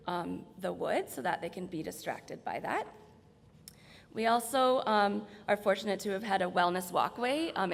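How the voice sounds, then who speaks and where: A woman with a slightly hoarse voice gives an informal, explanatory slide presentation in a large room. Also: lowish voice. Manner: explanatory, conversational. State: slightly hoarse, losing her voice. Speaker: presenter from hospital staff. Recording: reverberant hall.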